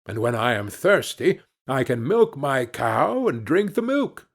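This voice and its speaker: Man playing a naive, animated storybook peasant lad. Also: mid-range voice, unhurried, vocal fry, full character voice. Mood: pleased, hopeful. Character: naive, cheerful young peasant man, easily pleased.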